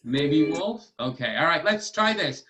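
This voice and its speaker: A man's voice: on the high side, animated, measured pace, sharp tone, slight background noise. A man hosting a children's storytime and trivia over a video call, explaining step by step in a patient, encouraging way. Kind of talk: casual teaching to children.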